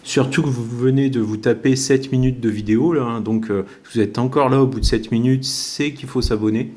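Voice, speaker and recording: A man's voice: mid-range voice, steady tone, brisk, darkish tone. A man talking casually to his viewers as he vlogs, chatty and informal, with wry joking remarks. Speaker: YouTube vlogger. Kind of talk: casual walk-and-talk vlog commentary.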